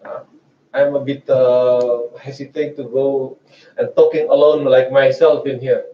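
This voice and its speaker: A man's voice: mid-range voice, flat delivery, unhurried, a little hiss or hum. A man in his forties with an Indonesian accent, giving a chatty research seminar lecture with hesitant restarts. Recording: echoey, distant, muffled room mic.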